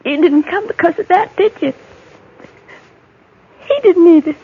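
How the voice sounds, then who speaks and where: A woman acting a young, lonely and disturbed frontier girl in a radio western, childlike and pleading in simple, halting phrases. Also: extremely high pitch, gravelly, full character voice. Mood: desperate confession. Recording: tinny, noisy old radio recording.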